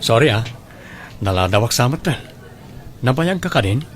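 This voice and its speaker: A man's voice: medium pitch, big pitch swings, normal speed, over music, natural voice. A man playing a reserved, plain-spoken young man with an Ilocano Filipino accent in a radio drama. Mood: casual.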